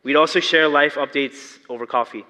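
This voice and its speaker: A young man with a Filipino accent and a slight lisp preaches to a large congregation, his voice bright and forceful. His pitch sits a little high for a man, and a long reverb trails each phrase through the church hall. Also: normal speed. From Philippines. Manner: earnest, asks the congregation questions. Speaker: lay preacher. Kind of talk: sermon.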